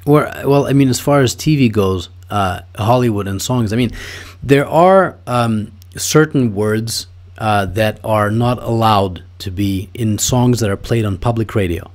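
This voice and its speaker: A man's voice: on the low side, measured pace. A man from Russia speaking as a guest in a casual interview, opinionated and thoughtful, with frequent fillers and restarts.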